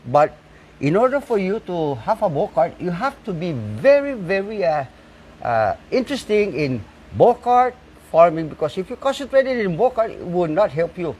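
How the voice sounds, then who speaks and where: Man, 60s, slightly high pitch, halting speech, many fillers. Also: big pitch swings, a little mellow, slight background noise. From Saipan, Northern Mariana Islands. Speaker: bull cart maker and farmer. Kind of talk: casual interview talk. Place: outdoors at his home.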